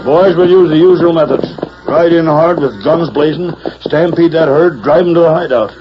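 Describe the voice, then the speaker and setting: An actor playing a ruthless cattle rustler leader giving brisk, commanding orders in a 1940s radio western. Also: male voice, fairly high pitch, close to an everyday voice. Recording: muffled, very noisy vintage radio broadcast.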